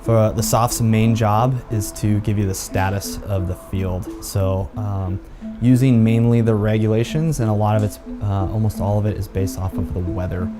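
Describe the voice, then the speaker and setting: A man's voice: on the low side, fairly even intonation, normal speed. A man on airfield tower staff explaining his work conversationally in a documentary interview. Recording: prominent music behind voice.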